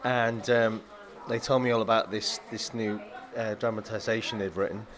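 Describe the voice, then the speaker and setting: A man's voice: lowish voice, fairly even intonation, moderate pace, crowd noise. A man, an actor, answering interview questions eagerly, heaping on praise and laughing easily.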